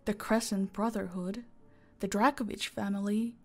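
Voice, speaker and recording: A woman playing a dominant mafia boss in an audio roleplay, menacing and teasing, coolly controlled and condescending, often hushed and breathy. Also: mid-range voice, fairly even intonation, moderate pace, faint music behind, natural voice. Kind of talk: intimate audio roleplay acting.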